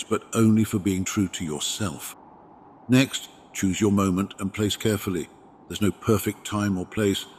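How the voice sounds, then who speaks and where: A man voicing a wise, protective dragon in an ASMR roleplay, speaking in a formal, measured and reassuring way. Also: deep voice, a little hiss or hum, close to an everyday voice. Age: adult parent with younglings. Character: wise, gentle, protective male dragon mentor. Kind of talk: ASMR roleplay, scripted comforting monologue.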